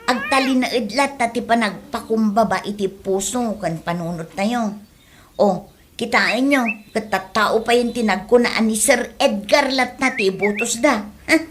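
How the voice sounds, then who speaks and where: A woman's voice: a little high, a little slow, noisy background, close to an everyday voice. A woman acting an opinionated, moralizing villager in an Ilocano radio comedy drama.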